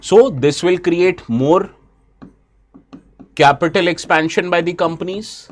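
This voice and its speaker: A man's voice: sits high, slow. Indian man teaching with a cold. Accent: Indian English. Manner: asks students questions, switches briefly into Hindi. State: has a bad cold. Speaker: exam prep teacher. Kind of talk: live online lecture.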